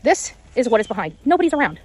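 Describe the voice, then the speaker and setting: A woman gives an amateur, unscripted report from outdoors in a fairly high voice. She is halting and repeats her phrases as she goes. Faint music runs underneath. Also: big pitch swings. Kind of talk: unscripted on-location vlog reporting. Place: outdoors in quiet rural area.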